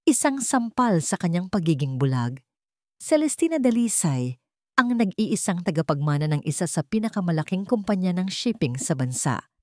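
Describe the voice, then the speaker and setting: A woman's voice: low-pitched, mellow, no echo, studio quality. A woman narrating a scripted story in a dramatic, emotive and solemn storytelling manner.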